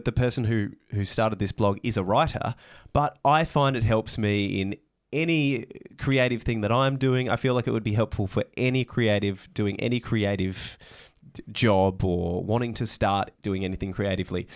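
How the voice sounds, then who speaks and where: A man's voice: fairly low pitch, clear, resonant tone. A man hosting a podcast, talking in a rambling, reflective way with frequent restarts. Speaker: podcast host. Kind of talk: casual podcast interview.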